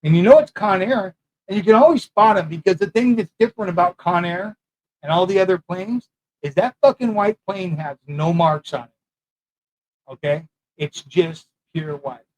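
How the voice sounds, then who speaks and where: Brash male ex-con from California; loud, profane, boastful, slightly raised pitch, rambling delivery. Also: moderate pace, poor audio. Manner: blunt, profane and boastful street talk; rambling, loses his thread. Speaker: former prisoner sharing prison know-how. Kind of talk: casual monologue to camera. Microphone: talking into a mic.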